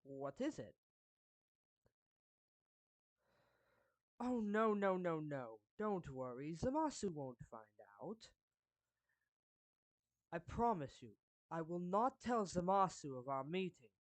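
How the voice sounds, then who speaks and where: Casting: performer as a suave anime villain turned protective friend, formal and deliberate, slow delivery, mid pitch, dry close recording. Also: sing-song, dry recording. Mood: reassuring, curious. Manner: formal, measured, deliberate, pausing mid-phrase. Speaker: character voice actor. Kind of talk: anime fan roleplay monologue addressed to the listener.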